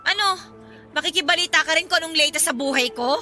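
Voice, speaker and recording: Woman playing young mother, high pitch, very bright edge, sarcastic, defiant, radio drama, music underneath. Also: fast. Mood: irritated. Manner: polite but pointed, sarcastic.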